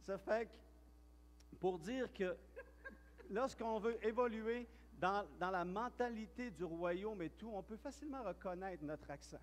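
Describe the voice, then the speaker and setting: Quebec French pastor with a high voice in a reverberant hall. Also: male voice, a little slow. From Quebec, Canada. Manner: chatty, storytelling, with frequent restarts and repeated words. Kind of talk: sermon. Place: church hall.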